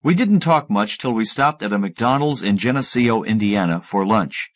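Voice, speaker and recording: Male audiobook narrator, middle-aged, slightly low voice, scripted first-person fiction. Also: expressive, moderate pace.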